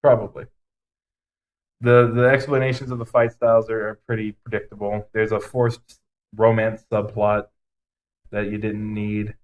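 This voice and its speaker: A man's voice: fairly low pitch, level tone, moderate pace, phone-quality sound. A man co-hosting a podcast, giving blunt, emphatic opinions in casual chat with some swearing. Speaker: podcast co-host. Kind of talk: casual ranting movie review chat.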